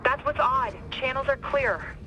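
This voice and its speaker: An actress playing a steely, tense mission coordinator in a game, giving clipped, urgent orders with authority. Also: female voice, high voice, unhurried, bright, forceful tone, muffled recording, a little hiss or hum. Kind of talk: dramatic game voice acting.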